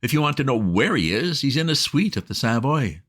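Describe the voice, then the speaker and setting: A man voicing a flustered, excitable young gentleman who bursts out in indignant exclamations in a storybook reading. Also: medium pitch, pitched above the performer's own voice. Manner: exclamatory, indignant, rapid outbursts.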